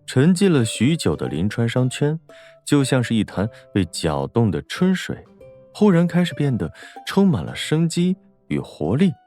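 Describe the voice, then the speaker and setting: A man's voice: medium pitch, wildly swooping pitch, moderate pace, mellow, faint music behind. A man reading a scripted novel as an audiobook narrator.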